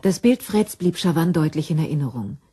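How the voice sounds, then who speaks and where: A woman's voice: sits low, expressive, brisk, mellow, slightly rough. A woman narrator reading scripted narration for a crime radio drama.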